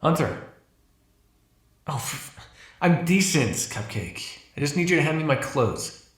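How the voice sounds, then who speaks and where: A man performs a cocky, insatiable male vampire in an ASMR roleplay. His voice sits at an average pitch for a man. He talks in a casual, sarcastic way, needling and flirting with an unseen partner and swearing freely. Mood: teasing, flirtatious. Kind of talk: ASMR roleplay acting, one side of a dialogue.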